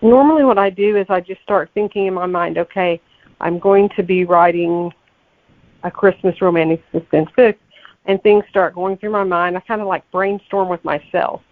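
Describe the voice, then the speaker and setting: A woman's voice: lowish voice, fairly even intonation, moderate pace, dry recording. A woman guest, a novelist, chatting casually in an interview and thinking aloud over a narrow, phone-like connection. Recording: tinny, phone-like, narrow-band line.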